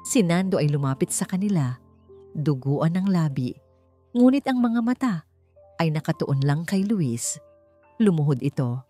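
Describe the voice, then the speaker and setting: A woman's voice: low voice, animated, a little slow, long pauses, dark tone. A woman narrating a dramatic audiobook story in a scripted storytelling style. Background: background music.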